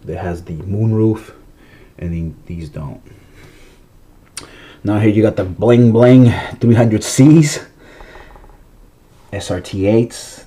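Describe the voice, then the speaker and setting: Stuffy-nosed man, low and mellow. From Massachusetts, USA. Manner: rambling, chatty, with fillers and restarts. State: stuffy, congested nose. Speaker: toy car collector showing his collection. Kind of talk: casual vlog.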